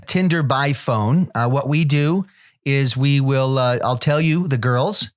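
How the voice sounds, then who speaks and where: Man as a judgmental phone operator reading out dating profiles; halting, fussy delivery in a normal male pitch. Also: close to an everyday voice. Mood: deadpan. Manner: hesitant, picky commentary with restarts.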